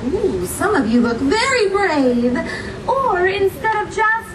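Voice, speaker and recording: A woman performs as a gracious young princess telling a story to children at a live show. Her voice is very high and animated, theatrical and full of encouraging questions. The recording is distant and echoey, with crowd noise around her. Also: takes it slowly, heavily acted. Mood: bright, inviting. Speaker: theme park storytelling performer. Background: audience crowd noise.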